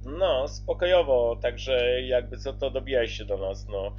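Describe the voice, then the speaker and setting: A man's voice: mid-range voice, measured pace, darkish tone, highs cut off, a little hiss or hum. A man chatting casually as a CB radio operator, passing the conversation between stations. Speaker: CB radio operator relaying calls.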